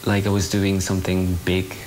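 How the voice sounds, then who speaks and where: A young man from Germany speaking unscripted in an interview, halting and understated. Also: sits low, completely flat delivery, poor audio. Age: young adult. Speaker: interviewee, donor.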